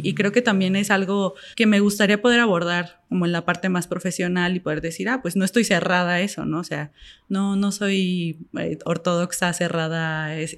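A woman co-hosting a podcast, chatting in a friendly way with plenty of hedging fillers. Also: fairly low pitch. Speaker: podcast co-host. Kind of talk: casual interview chat.

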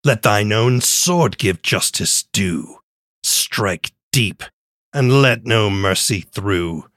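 Grave bard, theatrical, scripted rhyming narration, low voice, drawn-out pacing. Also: male voice, lively intonation, a bit bright, no echo, studio quality. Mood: ominous. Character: solemn male bard narrating a dark vengeance tale.

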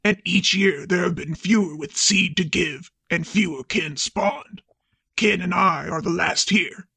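A man voicing a solemn, child-sized ancient toad elder who speaks in slow, grave pronouncements. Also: sits high, creaky, pushed and bright, breathy edge, dry recording, heavily acted. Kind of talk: comedic podcast story reading.

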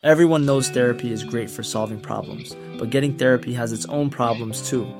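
A man's voice: mid-range voice, a little fast. Male ad announcer over guitar music. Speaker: commercial announcer. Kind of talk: scripted ad read. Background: plucked guitar music.

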